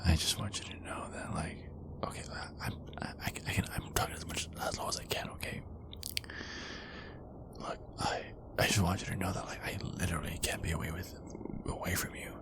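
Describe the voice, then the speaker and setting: Man acting very deep, breathy werewolf boyfriend. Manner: halting, repeats himself, pleading. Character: ardent, nervous young male werewolf boyfriend sneaking in. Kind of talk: ASMR boyfriend roleplay.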